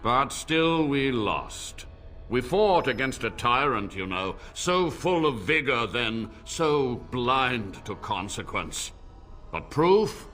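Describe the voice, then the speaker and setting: A man's voice: medium pitch, very animated, a bit bright, soft background music. An actor playing an ancient, decaying male blood mage who speaks in a grandiose, theatrical way. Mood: solemn. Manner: grandiose, theatrical, slow, with a mocking laugh. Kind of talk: game character dialogue.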